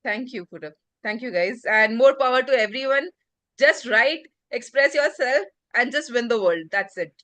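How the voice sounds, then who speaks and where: A woman's voice: fairly high pitch, animated, moderate pace, bright, forceful tone, no echo. Heartfelt woman sharing her story. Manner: heartfelt, encouraging, many fillers like "you know". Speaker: guest community member.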